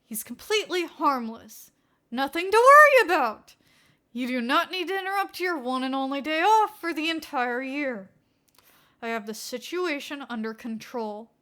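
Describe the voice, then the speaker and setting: A woman's voice: high-pitched, lively intonation, moderate pace, pushed and bright. A woman voicing a proud, frazzled male police detective who growls and strains through clenched teeth in a story reading. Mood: strained cheer.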